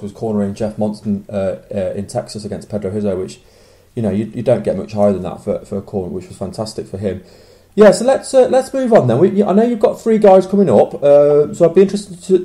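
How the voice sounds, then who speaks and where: A male host asks his questions in a quick, tumbling way and often restarts. His voice is mellow and warm, and he sits at a middle pitch. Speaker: interviewer host. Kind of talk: podcast interview questions.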